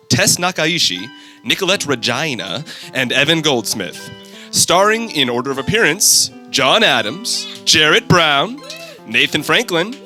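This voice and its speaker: A male announcer reads scripted credits over plucked guitar music. His voice is somewhat high and forceful, with lively movement in pitch. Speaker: announcer reading show credits.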